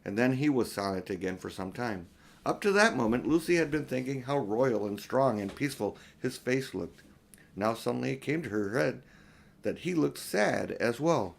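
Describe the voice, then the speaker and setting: An older man reads a storybook aloud in a middle-pitched voice. His delivery is homely and unpolished, an amateur storyteller at ease with the page. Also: vocal fry. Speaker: audiobook reader. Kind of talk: storybook reading with informal asides.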